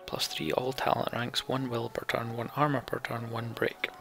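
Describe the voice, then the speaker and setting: A man hosting a game playthrough, reading story text aloud and adding casual comments, with a gravelly, breathy voice. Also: mid-range voice, little pitch movement, normal speed, over music.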